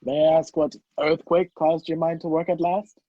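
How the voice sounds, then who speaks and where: A man's voice: a little high, level tone, normal speed, dry recording, close to an everyday voice. A man acting a wrongly accused, impatient man on the run in a radio play over a video call. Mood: probing, dry. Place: video call, dry room. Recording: muffled video-call audio.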